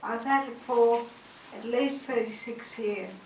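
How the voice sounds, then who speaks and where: A woman in her sixties whose speech is very slow, halting and effortful, with a quiet, weakened voice. She is caught in an echoey hall with a little background noise. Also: on the high side, fairly even intonation, distant mic. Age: 60s. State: weak, quiet voice. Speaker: audience member. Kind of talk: personal statement at a forum. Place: auditorium. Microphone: handheld mic.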